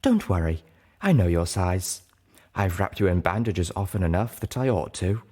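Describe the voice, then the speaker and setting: A man voicing a prim, caring female pony who speaks in a polite, gently reproving way during an audiobook. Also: deep voice, very animated, moderate pace. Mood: calm, fussing.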